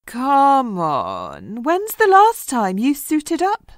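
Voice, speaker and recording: A woman's voice: sits high, huge pitch swings, drawn-out words, full character voice. A woman playing an eager, romance-minded superhero, playful and wheedling, in an audio comedy. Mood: coaxing.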